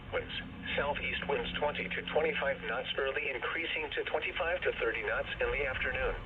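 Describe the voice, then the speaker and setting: A synthetic-sounding male announcer reads a scripted weather bulletin over the radio in formal, impersonal, clipped phrases. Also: medium pitch. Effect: radio broadcast. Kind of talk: scripted weather forecast and marine bulletin readout. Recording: narrow tinny radio band with static.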